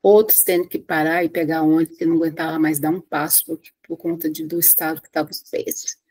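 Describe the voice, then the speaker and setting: A woman from Brazil speaks in a slightly low, husky voice. She gives practical, heartfelt advice in a loose, conversational way, often pausing to restart a phrase or add a quick tag question. It sounds like an informal live talk over a computer mic. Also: level tone, darkish tone. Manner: earnest, advice-giving, frequent "né?" tags and restarts. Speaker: pilgrim sharing trail tips. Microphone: webcam or computer mic on a live stream.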